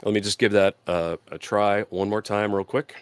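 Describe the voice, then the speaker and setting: A man's voice: fairly low pitch, steady tone, normal speed, clean tone, no room sound, clean studio sound. A man hosting a casual live product demo and interview, explaining things in an informal, conversational way. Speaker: host and product demonstrator.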